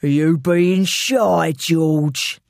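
Woman voicing a slow, taunting male London gangster. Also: sits low, steady tone, creaky, darkish tone, dry recording, full character voice. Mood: taunting menace. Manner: slow, taunting, mock-friendly. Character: menacing male London gangster, mockingly polite ringleader.

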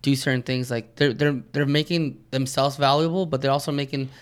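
A man's voice: mid-range voice, brisk, clean tone. Man in his thirties chatting casually. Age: 30s. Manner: relaxed, filler-heavy talk. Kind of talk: casual chat.

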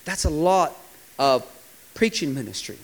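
A man's voice: on the high side, animated, measured pace. Impassioned pastor in his forties. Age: 40s. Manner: impassioned preaching, sometimes dropping to a quiet, hushed delivery. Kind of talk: sermon.

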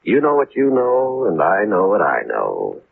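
A low, gravel-throated American tough guy in an old radio crime drama. He is cynical and menacingly casual, swinging in pitch through terse slang. The sound is narrow, aged broadcast audio. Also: huge pitch swings, unhurried, heavily acted. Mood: cold menace. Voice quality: gravelly, raspy. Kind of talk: 1950s radio crime acting. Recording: muffled, narrow-band vintage 1950s radio.

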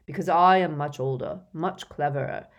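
A woman's voice: deep voice, moderate pace, close to an everyday voice. A woman voicing an elderly male wizard mentor in an audiobook story, authoritative and insistent, with intense emotion. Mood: stern, commanding. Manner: emphatic, insistent, moaning and groaning under torment.

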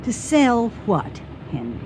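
Casting: female, excitable, devout farmer's wife, radio play, very lively intonation, noisy recording. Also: on the high side, takes it slowly. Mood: earnest, trusting. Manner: expressive, exclamatory, earnest. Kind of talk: radio drama acting.

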